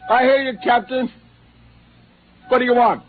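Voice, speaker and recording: A man's voice: extremely high pitch, steady tone, moderate pace. An actor playing a bitter, defiant spaceman turned killer in an intense 1950s radio drama style. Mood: guarded. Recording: tinny, noisy old radio recording.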